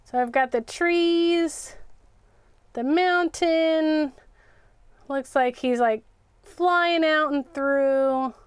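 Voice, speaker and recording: High-voiced woman chatting through a craft livestream. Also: extremely slow, long pauses, no echo. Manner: chatty, self-narrating, repeats words. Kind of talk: casual live craft chat, thinking aloud.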